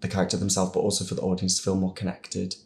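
A man's voice: low-pitched, monotone, racing, vocal fry. A man chatting casually on a panel, hesitant, self-deprecating and prone to giggles. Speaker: voice actor on a Q&A panel. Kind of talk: casual group chat.

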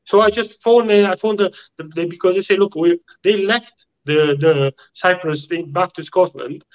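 A man's voice: high-pitched, steady tone, normal speed, dull audio. A man from Romania with a Romanian accent, a guest telling his story in a casual interview, often repeating words as he searches for the phrasing.

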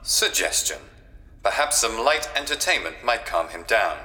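An actor voicing a quirky ship's computer in a sci-fi audio drama, clipped and formal with a dry mischievous streak. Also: male voice, lowish voice, sing-song, normal speed, sharp tone, in a large hall, full character voice, rough recording. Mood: matter-of-fact. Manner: clipped, formal machine-like announcements. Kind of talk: science fiction audio drama acting.

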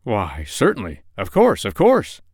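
A man's voice: lowish voice, huge pitch swings, measured pace, a little mellow, breathy edge, no room sound, clean studio sound, exaggerated voice. Man playing a kindly, musing storybook doctor. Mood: interested. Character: kind, modest, absent-minded male animal doctor.

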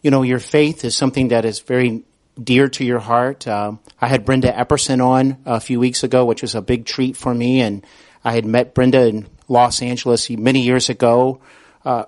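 Male interviewer, medium-pitched voice, conversational, complimentary, halting phrasing. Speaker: podcast and radio host, interviewer.

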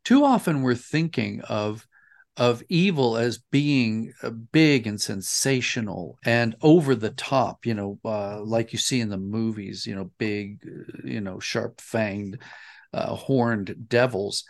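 A man's voice: lowish voice, slow pace, creaky. A man speaking as an interview guest, explaining his reflections earnestly and with emphasis. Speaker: interview guest, audio dramatist.

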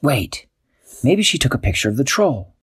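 A man voicing a calm, practical female host in a bedtime story, speaking earnestly. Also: mid-range voice, normal speed, husky, voice pushed up, exaggerated voice. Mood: worried.

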